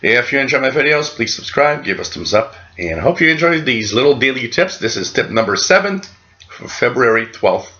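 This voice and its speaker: A man's voice: medium pitch, sing-song, a little fast, pushed and bright, dull audio. A middle-aged man from Canada casually explaining things as host of a hobby radio channel, recorded in a small room. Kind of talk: casual explanatory vlog talk.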